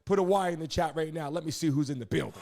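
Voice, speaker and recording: A man hosting a sports livestream, excitedly hyping up viewers and repeating his calls to the chat. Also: on the high side, racing, gravelly, background noise. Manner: excitable, repeats like-button pleas. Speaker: livestream host. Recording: music playing behind the voice.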